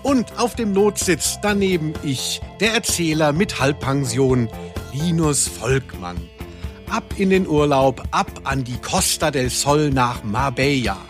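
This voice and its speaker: A man's voice: fairly high pitch, lively intonation, a little slow, faint music behind. A man co-hosting a casual, jokey podcast, talking in a wordy, self-mocking, rambling way. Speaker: podcast co-host. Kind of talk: casual, jokey podcast chat about audio dramas.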